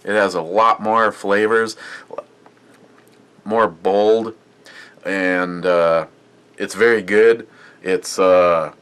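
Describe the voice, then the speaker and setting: A man's voice: on the low side, fairly bright tone. A man casually reviewing a beer on his vlog, rambling and chatty with lots of fillers and restarts.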